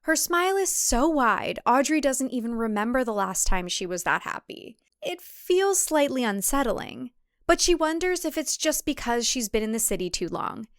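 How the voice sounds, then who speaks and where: A woman's voice: a little high, big pitch swings, moderate pace, no echo, studio quality. A woman reading scripted comic thriller narration as an audiobook narrator.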